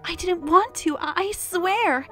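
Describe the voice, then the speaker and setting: A woman playing a devout, protective pony mare who captains the guard, speaking with earnest, solemn care in an animated fan drama. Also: very high-pitched, takes it slowly, faint music behind, exaggerated voice. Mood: apologetic.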